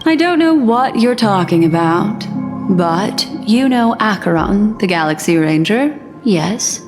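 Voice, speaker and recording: A woman's voice: medium pitch, animated, unhurried, close to an everyday voice. A woman voicing a probing curious young woman. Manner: inquisitive, pressing questions. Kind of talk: game cutscene voice acting. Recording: game audio with reverb over music.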